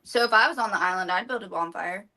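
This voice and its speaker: A woman's voice: medium pitch, quick pace, sharp tone. A young woman chatting playfully on a podcast. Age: young adult. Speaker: podcast guest. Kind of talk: casual chat.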